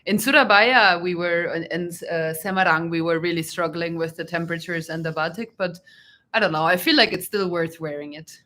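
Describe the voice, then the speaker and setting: A young woman co-hosts a relaxed live chat, her voice sitting a little low. She laughs easily and chimes in with short, friendly remarks. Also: brisk. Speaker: co-host of a travel vlog. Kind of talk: casual live-stream chat.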